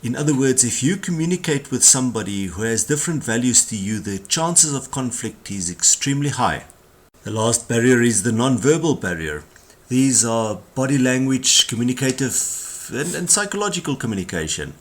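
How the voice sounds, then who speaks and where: A man's voice: medium pitch, big pitch swings, normal speed. A man with a South African accent giving a communication skills tutorial, explaining ideas in a conversational way with emphatic asides. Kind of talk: tutorial lecture.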